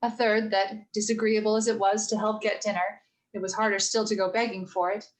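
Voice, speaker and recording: A woman playing a gentle, earnest mother of four girls, telling stories in a measured, sincere way for a radio play rehearsal. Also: mid-range voice, little pitch movement, close to an everyday voice. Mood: gently instructive. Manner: measured, sincere moral storytelling.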